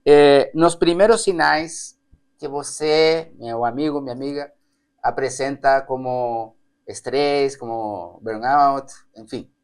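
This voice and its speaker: A man's voice: medium pitch, slow pace, smooth. A man from Spain speaking with a Spanish accent, hosting a podcast interview in a direct, simple way. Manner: direct, simple phrasing, frequent laughs. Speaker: host and mentor.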